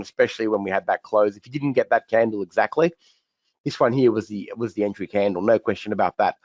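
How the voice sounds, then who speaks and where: A man with a croaky voice, hosting a live webinar and walking through charts in a chatty way, often repeating words and restarting his sentences. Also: mid-range voice, no echo, lo-fi sound. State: croaky, recovering voice. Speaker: trading educator hosting a webinar Q&A.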